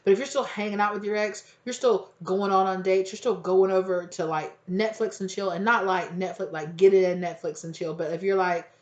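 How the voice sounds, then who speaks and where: A woman giving a casual tarot reading, hoarse and close to losing her voice, rambling in slang and acting out little quoted exchanges. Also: mid-range voice, fairly even intonation, brisk, hardly any pauses. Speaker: tarot reader. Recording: echoey, dull lo-fi room recording.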